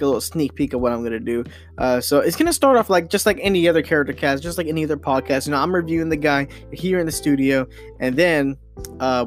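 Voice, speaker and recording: A young man hosting his own podcast, talking alone in a rambling, excited way with many fillers and restarts. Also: fairly high pitch. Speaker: podcast host. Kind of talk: solo casual podcast monologue.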